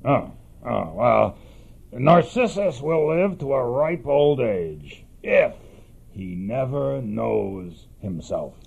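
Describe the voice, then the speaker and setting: An actor playing a grudging, cryptic old male seer with a gravelly, husky voice in a dramatized myth. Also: mid-range voice, big pitch swings, takes it slowly, a little mellow, dull audio, exaggerated voice. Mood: cryptic, solemn.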